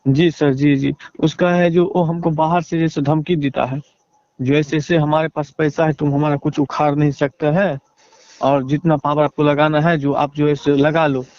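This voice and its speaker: A man with a Bihari accent giving a halting, deferential account in a phone interview, leaning on repeated filler phrases. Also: on the high side, flat delivery, darkish tone, no room sound. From Bihar, India. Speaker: interviewee, relative seeking justice. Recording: dull lo-fi phone call.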